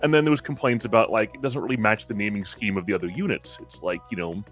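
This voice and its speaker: A man's voice: lowish voice, quick pace, faint music behind. An American man chatting on a livestream, telling stories with stammering restarts and frequent laughs. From United States. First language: American English. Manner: animated storyteller, stammering restarts, laughs often. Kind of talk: casual livestream chat.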